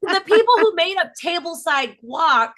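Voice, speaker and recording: A woman's voice: extremely high pitch, normal speed. A woman from Texas doing a self-mocking stand-up comedy set on a video call. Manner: chatty, self-mocking, lively storytelling.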